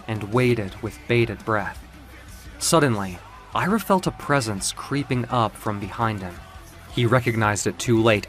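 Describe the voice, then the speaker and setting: A man's voice: medium pitch, fairly bright tone. A man narrating a scripted story in a theatrical, suspenseful way.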